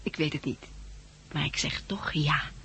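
A woman's voice: low-pitched, unhurried, fairly bright tone, whispered. An actress playing a frank, practical young woman in a 1960s Dutch radio drama, candid and lightly teasing. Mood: tender, candid.

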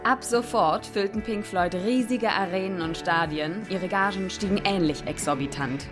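An actress playing an informative documentary announcer, reading scripted narration in a radio play. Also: female voice, mid-range voice, normal speed, close to an everyday voice. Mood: factual. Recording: music behind the voice.

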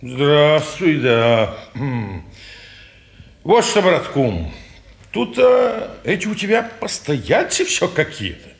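An actor playing a pompous, grumbling old householder in a Russian stage drama, halting and condescending. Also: male voice, a little high, sing-song, gravelly, full character voice. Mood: grumbling, displeased. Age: older.

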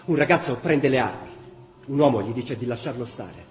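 An actor playing a sober chronicler of war events, narrating a scripted radio drama. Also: male voice, mid-range voice, lively intonation, moderate pace, soft background music, close to an everyday voice. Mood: tense. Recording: very roomy echo.

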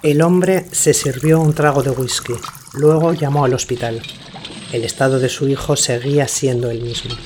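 A man narrating a scripted radio story in a measured, sober manner. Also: medium pitch, steady tone, darkish tone, slight background noise.

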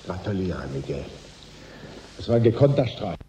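Over clear background noise comes a weary male executive in a radio play. His voice sits low, and he talks in a dry, sardonic, formal way, like a cynic long tired of negotiating. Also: big pitch swings, normal speed, warm tone. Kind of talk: radio drama acting.

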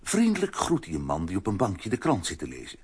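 A man's voice: sits low, huge pitch swings, brisk, breathy edge. A man narrating a children's audio drama in a scripted storybook style.

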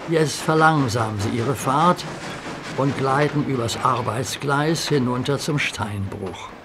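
A male narrator reads a children's audio story in a calm, unhurried storybook manner. His voice sits in a middle range, and a clear hiss runs under the recording. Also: mid-range voice. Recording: hissy audio play recording.

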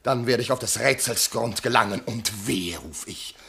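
Ein Schauspieler spielt in einem klassischen Vershörspiel einen stolzen, gekränkten Feldherrn, streng und deklamierend. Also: male voice, lowish voice, normal speed, gravelly, bright, forceful tone. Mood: wounded, vengeful. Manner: declamatory, stern, imperious. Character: proud, wronged male army commander demanding honour. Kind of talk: classical German verse drama radio acting.

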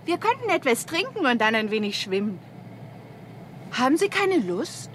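Seductive, high-voiced Hollywood film star. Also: female voice, sing-song, normal speed, fairly bright tone. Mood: breezy, inviting. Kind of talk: 1960s German radio crime drama acting. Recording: 1960s radio recording, faint background noise.

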